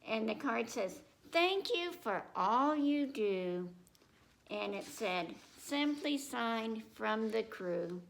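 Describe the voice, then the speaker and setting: A woman's voice: on the high side, expressive, slow pace, creaky, low-quality recording. A woman presenting a children's storytime, reading picture books aloud and breaking off to speak directly to young listeners with simple questions and repetition.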